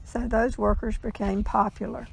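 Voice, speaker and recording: A woman reading a legend aloud in a measured storytelling style, with the odd stumble. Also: fairly high pitch, little pitch movement, creaky, husky, low-quality recording.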